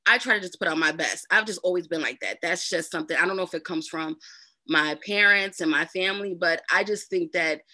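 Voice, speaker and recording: A woman's voice: lowish voice, a little fast, bright, forceful tone, no room sound. A woman with a New Jersey accent, a voice narrator talking casually as an interview guest, full of fillers and repeats. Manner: chatty, uses lots of fillers and repeats.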